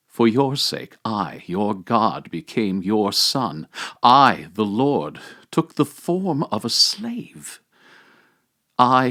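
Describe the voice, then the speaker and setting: A man's voice: mid-range voice, fairly bright tone, pitched above the performer's own voice, heavily acted. A man voicing a majestic, commanding yet tender Christ among the dead in a solemn, declamatory dramatic reading of a homily.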